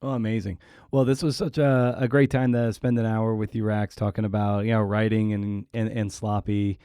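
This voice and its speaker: Mellow, lowish man hosting. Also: flat delivery, clear, resonant tone, no echo, clean studio sound. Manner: halting, searching for words. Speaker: podcast host. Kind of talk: casual conversational interviewing.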